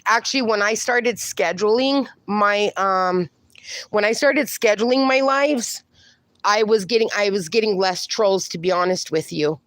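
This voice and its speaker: A woman's voice: medium pitch, a little slow, no room sound. Chatty woman hosting a livestream. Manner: chatty, reassuring, fillers. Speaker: livestream host. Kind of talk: casual livestream chat reading comments.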